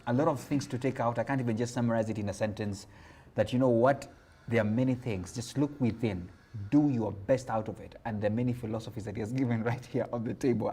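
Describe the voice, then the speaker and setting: A man's voice: mid-range voice, darkish tone, slightly rough. A man with a Kenyan accent hosting a casual interview, enthusiastic and affirming, slipping between English and Swahili slang. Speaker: talk show host.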